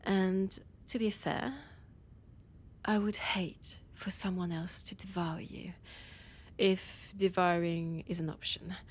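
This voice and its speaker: Breathy French-accented vampire woman, acted by a woman, slow-spoken. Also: lowish voice, level tone. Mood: possessive, menacing. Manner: theatrical, slow, dramatic pauses, breathy near-whispers. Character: annoyed, possessive female vampire scholar, ancient library guide. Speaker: audio roleplay performer. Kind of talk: scripted immersive audio roleplay monologue.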